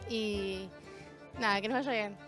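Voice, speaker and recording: A woman's voice: medium pitch, slow pace, a bit bright, soft background music. A young woman from Uruguay talking casually with many fillers.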